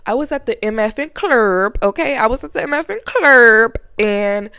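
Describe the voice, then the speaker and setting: Gravelly, sniffly young woman vlogging. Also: a little high, normal speed, pushed and bright, no echo, clean studio sound. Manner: chatty, rambling, frequent fillers and slang. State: sniffly from a cold. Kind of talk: casual sit-down talk to camera. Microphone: camera mic, sit-down setup.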